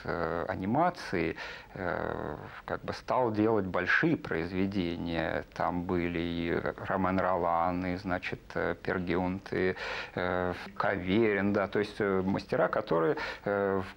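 A man in his forties giving a reflective documentary interview in a halting, hesitant manner. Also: low-pitched, vocal fry. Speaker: animation film artist and director interviewed. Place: indoor room with film set behind, seated. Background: music.

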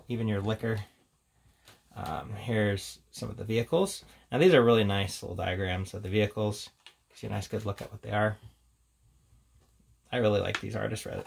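A man speaks in a fairly low voice with a gravelly fry. He gives an offhand, unscripted review commentary, drifting between reading short headings aloud and casual remarks. Faint noise sits behind the voice. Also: normal speed. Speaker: tabletop game reviewer.

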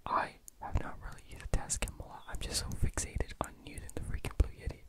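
A young adult whispers right up close to the microphone as an ASMR host. The delivery is fully unvoiced and breathy, with a loose, rambling way of chatting that is full of casual slang. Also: brisk.

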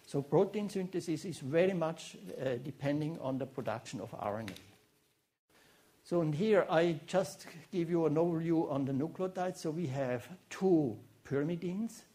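A man with a Swiss German accent giving a seminar lecture as a biochemist, talking haltingly with many restarts and fillers. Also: fairly high pitch, mellow. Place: lecture room before an audience.

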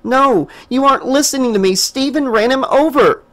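A man's voice: lively intonation, a little slow, full character voice. A man reading an audiobook, voicing a frantic, furious young woman in a raised, strained voice. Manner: high strained pleading and shouting.

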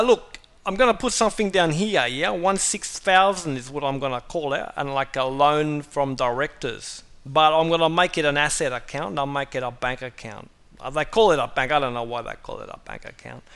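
A man with an Australian accent walks through a software task in a casual, chatty way, saying "mate" constantly and filling pauses with "uh". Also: a little high, slight background noise. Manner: chatty, says "mate" constantly, many "uh" fillers. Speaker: software tutorial presenter. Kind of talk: casual screen-recording walkthrough.

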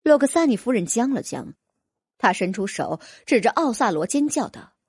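A woman's voice: a little high, sing-song, moderate pace, breathy edge, no echo. A woman reading a fantasy novel as an audiobook narrator, in a dramatic, scripted storytelling style.

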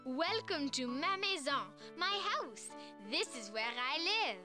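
High-voiced little schoolgirl. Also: big pitch swings, slow pace, full character voice. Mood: cheerful, welcoming. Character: small French schoolgirl, polite, eager and cheerful. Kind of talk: children's educational game acting. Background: children's music.

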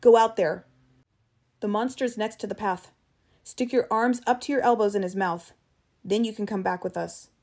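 A woman's voice: medium pitch, fairly even intonation, normal speed, close to an everyday voice. Woman acting a furious, barking male safari guide. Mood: angry, commanding. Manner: barking, commanding, accusing.

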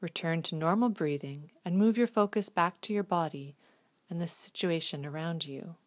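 Gentle low-voiced woman guiding meditation. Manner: gentle, soothing, slow and hushed. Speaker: meditation guide. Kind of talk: guided visualization meditation.

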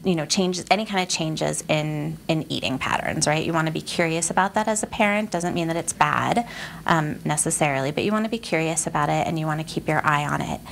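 Low-voiced, gravelly woman doctor explaining. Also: slight background noise. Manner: explanatory, measured. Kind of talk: expert interview.

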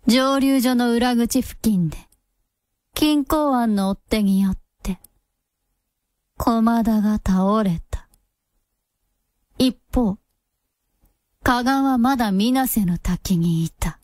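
A woman's voice: on the high side, slow, long pauses, a little mellow, no room sound, studio quality. A woman delivering scripted narration as the narrator of a radio drama. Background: music under the voice.

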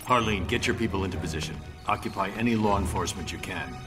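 A man's voice: low voice, fast talker, over music, close to an everyday voice. An actor playing a grim, commanding masked male vigilante in a video game cutscene, speaking curtly and coldly. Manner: curt, cold, commanding. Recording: loud game score behind.

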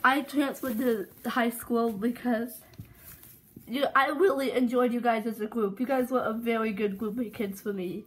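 A woman's voice: on the high side, steady tone, moderate pace, natural voice, poor audio. A woman voicing a friendly male teacher in a plush toy home video, chatty and rambling, recorded on a phone at home. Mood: warm.

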